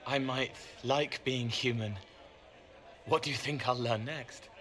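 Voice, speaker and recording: An actor playing a gentle, troubled young man with a hesitant, wistful manner, in a video game cutscene. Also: medium pitch, fairly even intonation. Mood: quiet wonder. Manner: hesitant, halting, plain-spoken and wistful. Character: gentle, troubled young man, once a spirit, childlike and haunted.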